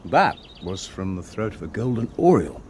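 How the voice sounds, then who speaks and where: A man's voice: lowish voice, sing-song, moderate pace, a little mellow, slight background noise. An actor playing a sardonic Englishman in a radio drama, dry and mocking. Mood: teasing. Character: sardonic Englishman, the estate agent.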